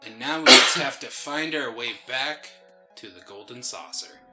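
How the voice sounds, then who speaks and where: A man's voice: medium pitch, moderate pace, sharp tone. A man co-hosting a gaming let's play, chatting casually with dry, playful replies. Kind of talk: casual chat, game commentary. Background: faint game music.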